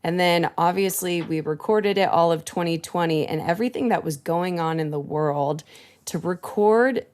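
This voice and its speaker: A woman's voice: lowish voice, moderate pace, darkish tone. A woman voice actor talking in a casual podcast chat, chatty and bubbly, laughing often. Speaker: voice actor guest.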